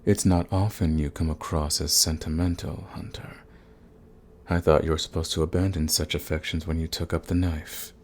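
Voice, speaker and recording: An actor playing a suave, sadistic vampire lord in an ASMR roleplay, with a theatrical, silky, condescending manner and a husky, gravelly voice. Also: male voice, rumbling low, little pitch movement, darkish tone, a little hiss or hum. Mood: mock sympathy. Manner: theatrical, silky, condescending endearments, drawn-out phrasing. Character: suave sadistic male vampire lord, predatory, mocking, possessive.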